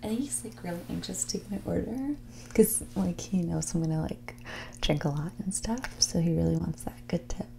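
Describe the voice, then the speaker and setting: A woman playing a snobby, dismissive rich young woman in a whispered and soft-spoken ASMR roleplay, condescending and ditzy, saying "like" often. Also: lowish voice, normal speed, a little hiss or hum. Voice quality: breathy whisper mixed with creaky soft speech. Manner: ditzy, condescending, hesitant delivery full of "like".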